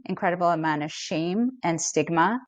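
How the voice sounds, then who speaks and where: A middle-aged woman from Ethiopia, a therapist speaking as a podcast guest in an earnest, reflective and measured way. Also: fairly low pitch, no echo. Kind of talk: podcast interview.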